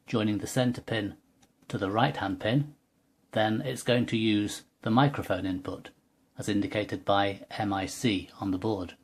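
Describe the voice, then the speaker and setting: A man with a fairly low, husky voice presents a technical tutorial. He explains things step by step in a precise, methodical way, as if demonstrating a product by hand.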